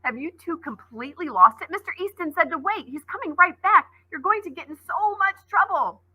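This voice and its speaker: A woman's voice: very high voice, expressive, a little fast, pushed and bright, no room sound, voice pushed up, exaggerated voice, low-quality recording. A woman voicing a bossy know-it-all schoolboy who scolds the girls. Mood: scolding. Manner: scolding, pushy.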